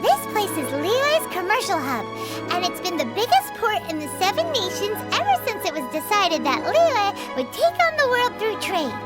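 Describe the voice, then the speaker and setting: A woman voicing a tiny childlike fairy guide in a game, chatty, excitable and easily exasperated, with a squeaky voice. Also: normal speed, heavily acted. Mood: instructive, faltering. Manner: refers to self in third person, squeaky, excitable. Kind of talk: animated game voice acting. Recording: background music over the voice.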